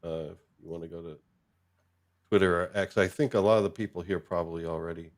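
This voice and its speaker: A man with a fairly low voice hosts a relaxed-format chat. He talks informally and off the cuff, with small chuckles between remarks. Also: fast. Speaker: show host. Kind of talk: casual chat.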